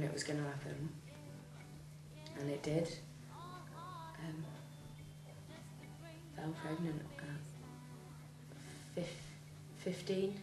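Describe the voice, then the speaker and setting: An eighteen-year-old woman, a young mother, speaking hesitantly about her pregnancy. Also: low voice. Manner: slow, hesitant. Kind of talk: personal testimony. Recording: roomy, music behind.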